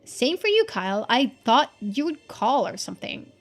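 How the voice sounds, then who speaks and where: A woman's voice: a little high, big pitch swings, normal speed, soft background music. A woman voicing an anxious, guarded female cousin in a story game, scolding and defensive. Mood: irritated worry.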